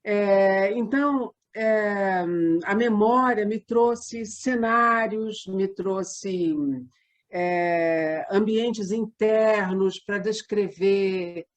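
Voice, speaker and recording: A woman's voice: medium pitch, steady tone, extremely slow. A Brazilian woman answering interview questions in a conversational way, telling things reflectively and in detail. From Minas Gerais, Brazil. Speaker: interview guest, radio drama writer and set designer.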